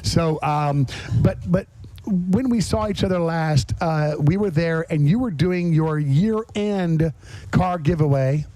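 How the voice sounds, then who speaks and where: A man's voice: a little high, slow, warm tone, no echo, rough recording. A man hosting a casual radio interview on location, chatty and emphatic, speaking straight to his listeners. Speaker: podcast host. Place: on location at a garage restaurant.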